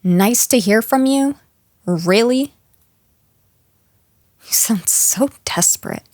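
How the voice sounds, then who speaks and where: A woman's voice: fairly high pitch, expressive, darkish tone, no echo, studio quality. A woman playing a jealous, possessive, unhinged girlfriend in an intimate roleplay audio drama, taunting the listener with condescending sweetness and menace. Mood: jealous, incensed. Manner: slow, taunting, condescending pet names, slipping into breathy whispers.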